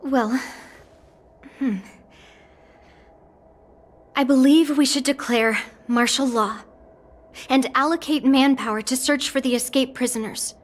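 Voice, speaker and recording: A high-voiced, earnest young girl. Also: slow pace, pauses often. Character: earnest, outspoken young granddaughter of a general. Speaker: voice actor. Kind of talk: scripted video game acting.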